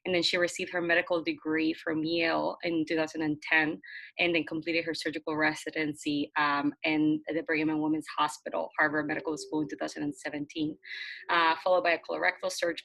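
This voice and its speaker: Gravelly low-voiced woman introducing a speaker. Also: level tone, brisk, a bit bright, no room sound, low-quality recording. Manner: reads with frequent uh fillers. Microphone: video call.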